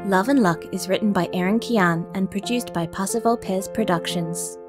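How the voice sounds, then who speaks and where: A woman reading the end credits of a podcast in a clear, even voice. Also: medium pitch, normal speed. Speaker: credits announcer. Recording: voice over piano music.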